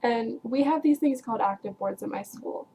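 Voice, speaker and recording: A woman's voice: a little high, normal speed, gravelly, warm tone, slight background noise. A teenage girl casually telling a long story to her viewers, rambling with lots of filler words and breaking into laughs. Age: teens. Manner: chatty, rambling, heavy use of "like" and "um"; frequent laughs while telling. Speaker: vlogger.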